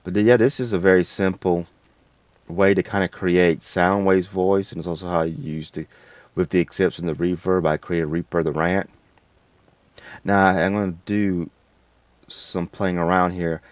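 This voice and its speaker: A man hosting a casual, unscripted software tutorial in a rambling, folksy way. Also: low voice, fairly even intonation, normal speed, no echo. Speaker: tutorial host.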